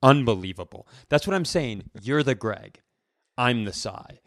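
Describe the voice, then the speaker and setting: Middle-aged man, podcast co-host, medium pitch, dry close recording, casual comic storytelling, sweary, acts out quotes. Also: a little slow, dry recording. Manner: animated retelling with acted quotes, casual swearing. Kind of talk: casual comedic storytelling chat.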